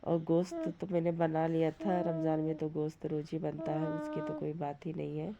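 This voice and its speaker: A woman with a hoarse voice from a cold, giving a plain, rambling, casual voice-over for a home cooking vlog. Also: deep voice, steady tone, moderate pace, crowd noise. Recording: dull lo-fi sound, light background noise.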